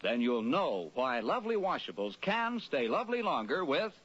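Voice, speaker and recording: A man with a husky voice delivering an old-time scripted radio sales pitch. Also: big pitch swings, normal speed, fairly bright tone, faint music behind. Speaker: commercial announcer. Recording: muffled old radio recording.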